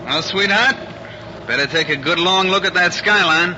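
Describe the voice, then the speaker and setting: An actor playing a smooth, ruthless gangster in a 1940s radio crime drama, scheming with his lover. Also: sits high, normal speed, natural voice. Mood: confident, scheming. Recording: noisy, muffled old 1940s radio recording.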